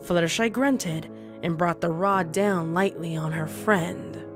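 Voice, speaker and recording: A woman's voice: on the low side, takes it slowly. A woman narrating a scripted dramatic story. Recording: prominent music under narration.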